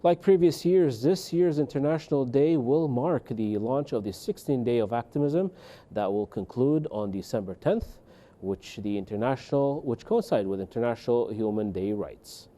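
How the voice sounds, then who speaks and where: A male news anchor with a very dark, muted tone. He reads scripted introductions and puts formal interview questions to a guest on air. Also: medium pitch, normal speed.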